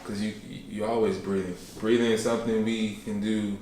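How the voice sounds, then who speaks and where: Podcast guest, young man, deep voice, easygoing and rambling, recorded in a boomy room. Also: level tone, fairly quick, a little mellow, slight background noise. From Chicago, South Side. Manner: laid-back, rambling, joking, trails off often. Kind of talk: casual conversational interview. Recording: echoey room, mic at a distance.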